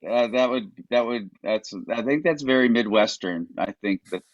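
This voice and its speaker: A man talking as a guest over a video call, hesitant and halting, often repeating words. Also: mid-range voice, no room sound, muffled recording. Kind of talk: casual conversation on a video call.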